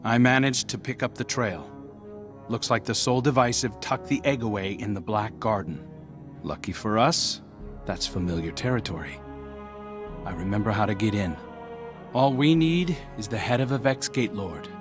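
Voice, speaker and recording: A man performs a small floating robot companion with a friendly, wry delivery. His voice sits a little low, and he talks briskly and helpfully. Loud game music plays underneath. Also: natural voice. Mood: wry encouragement. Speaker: video game character. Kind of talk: game cutscene voice acting.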